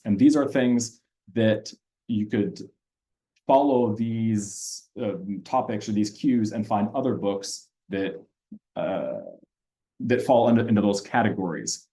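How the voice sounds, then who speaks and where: A man's voice: lowish voice, unhurried, dark tone. A man who grew up in Austria and speaks German natively, presenting a reading platform to teachers in a conversational webinar, with many fillers and restarts. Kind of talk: webinar walkthrough with screen sharing.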